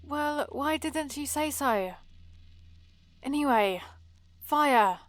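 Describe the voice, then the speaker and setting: A woman's voice: sits high, expressive, extremely slow, no room sound, heavily acted. A woman playing a prim, bossy and exasperated vampire librarian in a scripted ASMR roleplay, scolding in a fussy, theatrical way. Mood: urgent, exasperated.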